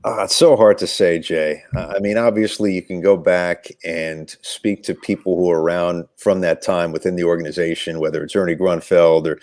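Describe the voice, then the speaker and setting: Male sports commentator as interview guest: very low register, dry recording, reflective, conversational delivery with emphatic phrasing. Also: fairly even intonation, moderate pace, few pauses, darkish tone, no echo.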